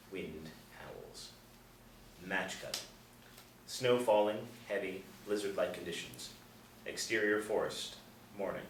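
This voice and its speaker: A man's voice: sits low, fairly bright tone. A man reading stage directions as scripted narration at a table reading. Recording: roomy, distant mic, faint noise.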